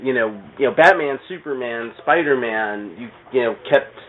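A man's voice: medium pitch, animated, normal speed, a little hiss or hum. A middle-aged man chatting casually about comics as a podcast guest, rambling with plenty of "you know". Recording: thin phone-like radio sound.

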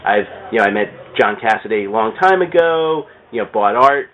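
A man's voice: on the low side, expressive, fairly quick. A man chatting as a podcast guest, telling stories in a rambling, enthusiastic way and repeating words. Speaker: podcast guest, comic shop owner. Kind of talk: casual storytelling chat. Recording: tinny phone-like sound, clear background noise.

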